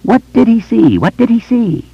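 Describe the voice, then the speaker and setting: A man voicing an ancient, cruel vampire monster that screams shrill, taunting questions in an audiobook horror story. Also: very animated, normal speed, gravelly, slightly rough, no room sound, dull audio, full character voice. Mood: shrieking taunting.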